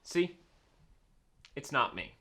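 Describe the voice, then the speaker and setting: A young man acting an exasperated young writer in a comedy web series, with an emphatic, animated delivery. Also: slow. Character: exasperated young writer haunted by his dead friends.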